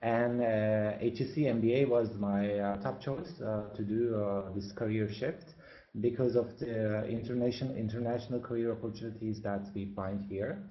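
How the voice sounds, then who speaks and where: A man's voice: lowish voice, steady tone, moderate pace. A man from Turkey, an MBA student, introducing himself on a webinar and hesitating often on fillers. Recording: echoey, dull lo-fi webinar audio.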